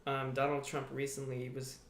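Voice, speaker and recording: A man's voice: mid-range voice, normal speed, a little hiss or hum. Laid-back young man in an echoey room. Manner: relaxed, laid-back with swearing and laughs. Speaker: podcast co-host. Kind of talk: casual news chat.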